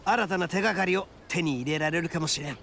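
High-pitched, brash oni gang boss. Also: male voice, quick pace, a bit bright, a little hiss or hum. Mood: alert, determined. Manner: rough, boastful, swaggering delivery. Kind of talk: Japanese anime game voice acting. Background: game music.

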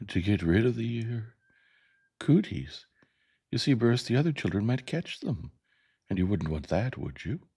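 A man's voice: on the low side, big pitch swings, normal speed, gravelly, long pauses, warm tone, breathy edge, pitched above the performer's own voice, exaggerated voice. A man voicing a prim, easily shaken young woman schoolteacher in an audiobook story, speaking politely and properly. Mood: polite, firm.

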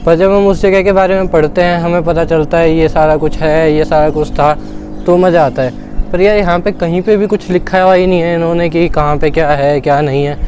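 A man's voice: on the high side, steady tone, a little fast, darkish tone. A man chatting casually and colloquially to viewers as he vlogs outdoors. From India. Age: 20s. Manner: colloquial, informal, addressing viewers as brother. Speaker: travel vlogger. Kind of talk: casual solo vlog chat while walking. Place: outdoors at an open fort ruin. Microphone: clip-on lapel mic. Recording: clear outdoor background noise.

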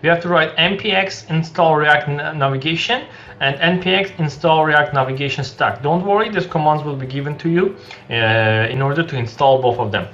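A young man walking through a coding project for a screen-share tutorial, halting and restarting often as he explains, recorded indoors at his desk. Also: fairly high pitch, fairly bright tone. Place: small room indoors. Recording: dull lo-fi sound with faint music.

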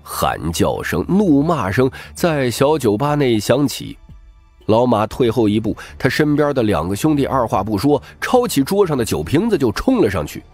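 A man's voice: medium pitch, big pitch swings, normal speed, darkish tone, soft background music. A man narrating scripted fiction as a dramatic audiobook reader.

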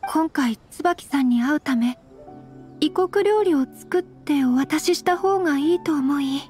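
A woman playing a refined, gentle young noblewoman in an anime game, speaking formally, courteously and earnestly. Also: high-pitched, a little slow, soft background music. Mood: polite, gentle. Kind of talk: anime game character acting.

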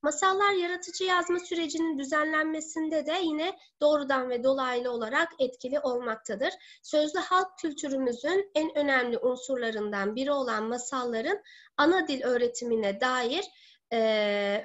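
A woman in her thirties giving an online seminar as a teacher, speaking deliberately with halting, drawn-out words. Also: sits high, a bit bright. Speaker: teacher presenting a webinar. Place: living room at home. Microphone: laptop or webcam mic on video call. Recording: dull lo-fi webcam call audio.

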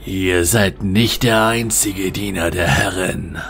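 A man voicing a cold, defiant old pirate admiral with a deep, hoarse voice in a fantasy story. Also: a bit bright, a little hiss or hum, full character voice. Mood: cold challenge. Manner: slow, challenging.

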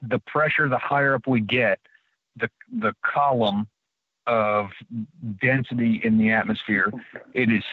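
A man as a radio show guest on a phone line, explaining informally in a measured way and repeating his points. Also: medium pitch, husky, no room sound. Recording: muffled narrow phone line.

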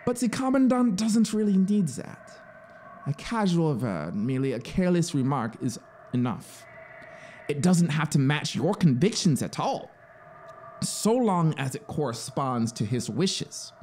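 A man's voice: fairly high pitch, big pitch swings, measured pace, darkish tone, a little hiss or hum, pitched above the performer's own voice. A man playing a zealous, scheming penal colony officer in a story, emphatic and persuasive. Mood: insistent, agitated. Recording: clear background synthesizer ambience.

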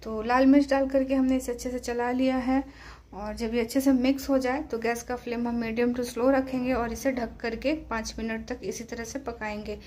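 A woman's voice: on the high side, level tone, normal speed, dark tone. A woman with an Indian accent giving a step-by-step cooking tutorial voice-over as a home cook. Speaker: home cook presenting a recipe.

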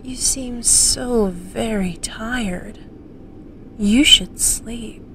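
A woman's voice: fairly high pitch, very slow, a bit bright, a little hiss or hum, exaggerated voice. A woman playing a smug, manipulative young female student in an audio drama, drawling and condescending as she taunts. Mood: coy, toying.

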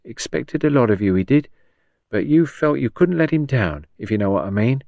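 A man's voice: medium pitch, big pitch swings, moderate pace, creaky, a little mellow, no echo, clean studio sound, voice pushed up. A man voicing a shrewd, blunt old working-class woman patient in an audiobook, chatty and colloquial with folksy endearments. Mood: chatty, reminiscing. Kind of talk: audiobook character dialogue.

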